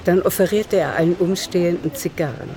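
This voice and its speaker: A woman narrating a literary radio play in the third person, with measured composure. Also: on the low side, noisy background, lightly acted. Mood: composed.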